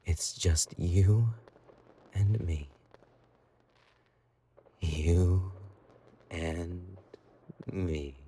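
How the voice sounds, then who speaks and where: Guy acting as creepy sweet yandere boyfriend, low breathy voice, talks super slow, possessive and cooing, roleplay audio. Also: steady tone, dark tone, a little hiss or hum. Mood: devoted, obsessive. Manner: slow, intimate, cooing with giggly menace. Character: obsessive, possessive yandere boyfriend, doting yet menacing. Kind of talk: romantic roleplay audio drama.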